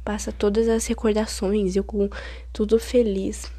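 A woman's voice: mid-range voice, level tone, measured pace, warm tone, dry recording, studio quality. A young woman in her late teens with a Brazilian Portuguese accent, hosting a casual solo podcast while stuffed up with a cold, chatty and rambling with dramatic complaints. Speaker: podcast host.

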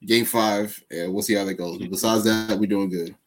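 A man with a low voice talks sports over a video call. He sounds relaxed and full of slang, and gets animated when a point fires him up. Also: normal speed. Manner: laid-back slang, animated. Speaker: podcast co-host. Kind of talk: casual sports chat.

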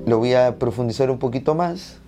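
A man talks viewers through audio effects one step at a time, speaking directly to them. Loud strummed jarana music plays alongside his voice the whole way through. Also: mid-range voice, brisk. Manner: explanatory, addresses viewers directly. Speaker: audio demonstrator playing a jarana. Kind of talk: instructional demonstration. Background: plucked jarana strumming.

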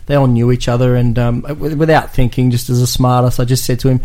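A man's voice: mid-range voice, level tone, fairly quick, mellow. A man co-hosting a sports podcast, teasing and wisecracking in casual chat. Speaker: podcast co-host. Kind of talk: casual banter.

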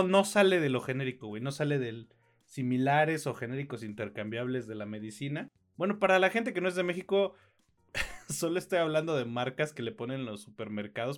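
A man with a Mexican accent co-hosting a podcast, reviewing films in a casual, slangy and opinionated way. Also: mid-range voice. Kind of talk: casual conversational film review.

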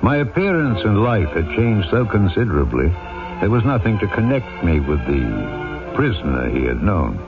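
An actor playing a grave, decisive ex-convict turned mayor in a radio drama, measured and authoritative. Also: male voice, deep voice, narrow, tinny audio. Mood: grave narrating. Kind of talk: radio drama acting with first-person narration. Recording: thin old radio recording.

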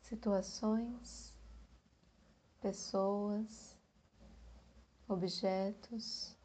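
A woman with a Brazilian Portuguese accent guiding a meditation, speaking gently and leaving long pauses between phrases. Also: medium pitch, fairly even intonation, husky. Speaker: meditation guide. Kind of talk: guided meditation.

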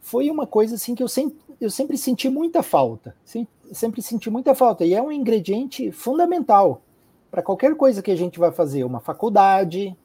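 A man's voice: sits high, very animated, normal speed, warm tone, breathy edge. A man with a southern Brazilian accent from Curitiba, speaking reflectively as an interview guest and repeating words for emphasis. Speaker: podcast guest, healthcare executive.

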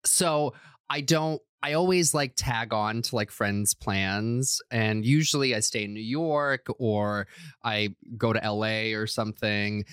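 A man's voice: on the low side, fairly bright tone, clean tone, dry recording, clean studio sound. A man from Croatia, co-hosting a podcast in casual chat, joking and self-deprecating. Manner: joking, self-deprecating, quick asides with frequent laughs. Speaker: podcast co-host.

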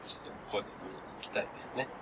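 A man in his thirties chatting casually as a podcast co-host, excitable and gushing, often trailing into half-finished sentences. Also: racing, heavy background noise. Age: 30s. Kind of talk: casual chat among friends.

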